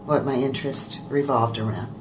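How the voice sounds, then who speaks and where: An older woman from Virginia, a guest on a radio show, chatting in a relaxed way about her life in a low voice. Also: moderate pace, background noise. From Virginia, USA. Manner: relaxed, chatty storytelling with soft laughs. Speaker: interview guest, radio producer. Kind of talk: casual interview chat. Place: radio studio.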